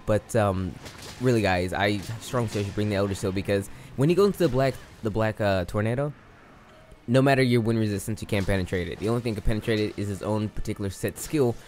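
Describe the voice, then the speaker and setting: A man's voice: lowish voice, quick pace, a little mellow, slight background noise. A man who is losing his voice, giving casual, rambling commentary over a game and often correcting himself. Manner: rambling, self-correcting, slangy. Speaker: gaming YouTuber.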